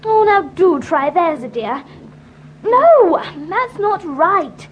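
An eager, imaginative little girl in an audiobook drama. Her voice is very high and lilting, with wide swings in pitch and a slow pace, over faint music. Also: very animated, a little hiss or hum, full character voice. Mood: playful.

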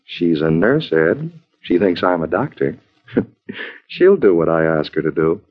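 A smooth American crook posing as a kindly doctor in a vintage radio crime drama. His patronizing, soothing lines swing widely in pitch. The broadcast recording is muffled. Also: very animated, a little slow, heavily acted. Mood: sly, smug. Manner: soothing, patronizing bedside talk. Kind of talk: old-time radio crime drama acting.

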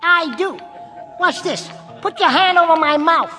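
A man's voice: extremely high pitch, a little slow, pushed and bright, soft background music, heavily acted. A man voicing a small, cocky, wisecracking ventriloquist's dummy with brassy, taunting patter in a radio drama.

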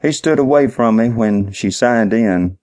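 An eager, slow-witted hotel night manager in his sixties, acted by a male narrator, pitched up for a crime audiobook. He talks in simple, literal, ungrammatical folksy phrases. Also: darkish tone, lightly acted. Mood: dim, helpful.

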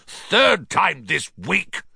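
Furious, roaring, blustering uncle. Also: male voice, sits high, huge pitch swings, extremely slow, gravelly, pushed and bright, slightly rough, no echo, clean studio sound, pitched above the performer's own voice, heavily acted. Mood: angry. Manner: roaring, snarling outbursts. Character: furious, blustering middle-aged uncle, bullying and pompous.

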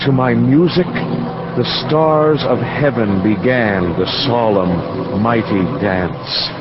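Male narrator, mid pitch, solemn incantatory radio-drama reading, dull lo-fi audio. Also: very noisy. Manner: slow, solemn, incantatory delivery.

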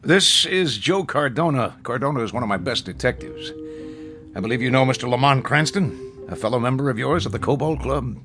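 An actor playing a brisk, worried police commissioner in a full-cast audiobook drama. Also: male voice, mid-range voice, animated, a bit bright, soft background music. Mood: businesslike.

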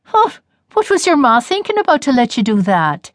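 Woman putting on a gruff old granny, slow folksy drawl, kinda high, dry sound. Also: very animated, dry recording. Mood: astonished. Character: gruff suspicious old grandmother, blunt and rustic.

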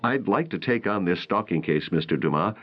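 A man voicing a calm young male detective trainee. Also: low voice, brisk, husky, highs cut off.

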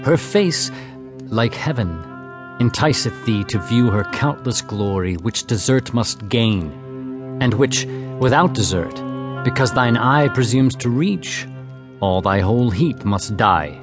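Slow, imperious king. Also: mid-range voice, animated, over music. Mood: ominous, warning. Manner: grand, declamatory. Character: imperious, menacing king, father of a princess. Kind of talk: Shakespearean audio drama acting.

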